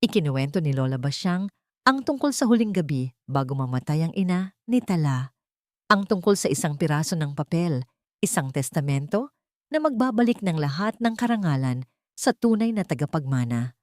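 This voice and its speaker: A woman's voice: low voice, sing-song, normal speed, darkish tone, no room sound, studio quality. A woman reading a dramatic scripted audiobook story as its narrator.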